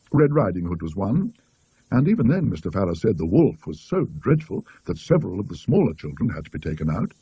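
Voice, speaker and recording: A man's voice: mid-range voice, mellow, slightly rough, no echo, dull audio, exaggerated voice. A British man voicing an indignant, gossipy country lady in a storybook, emphatic and disapproving.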